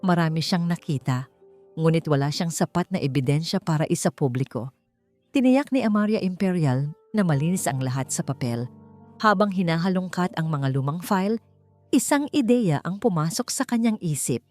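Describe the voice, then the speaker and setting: A woman's voice: on the low side, animated, moderate pace, a little mellow, faint music behind. A woman narrating a scripted dramatic story.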